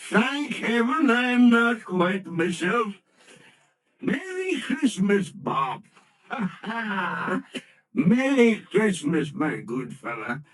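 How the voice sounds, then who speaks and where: An actor playing an old miser turned joyful in a vintage radio play, swooping from gruff growls to high excited bursts. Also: male voice, heavily acted, low-quality recording. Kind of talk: 1940s radio acting. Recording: old radio broadcast with music.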